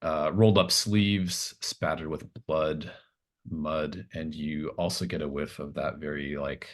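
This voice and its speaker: A man with a very low voice runs a tabletop roleplaying game. He improvises scenes in halting, tentative phrases, thinking aloud as he goes. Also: steady tone. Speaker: game master.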